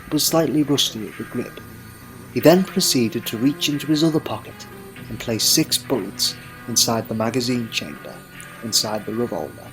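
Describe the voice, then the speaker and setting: A man narrates an adventure story in a mid-range voice. The delivery is scripted and dramatic, in a storybook manner, with music clearly playing behind him. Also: mid-range voice, moderate pace, poor audio.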